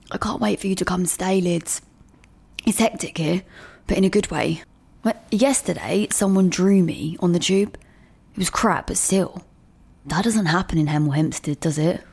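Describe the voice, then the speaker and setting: A woman playing a gravelly, hungover student. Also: mid-range voice, darkish tone. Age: young adult. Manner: chatty, casual, with slangy fillers. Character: chatty, hungover young female student. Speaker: new student leaving a voice note.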